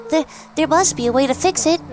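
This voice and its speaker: A woman's voice: high voice, a little fast, darkish tone, soft background music, pitched above the performer's own voice, natural voice. A woman voicing an anxious, earnest young boy hero from a mystery game, with a hesitant stammer. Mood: worried, urgent.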